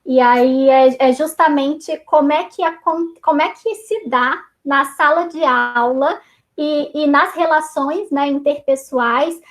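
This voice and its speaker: High-voiced Brazilian teacher on a video call. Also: female voice, slow pace. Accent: Brazilian Portuguese. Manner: chatty, many fillers and restarts, addresses group as "gente". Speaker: teacher leading a reading circle. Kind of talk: online class discussion. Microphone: computer mic on a video call.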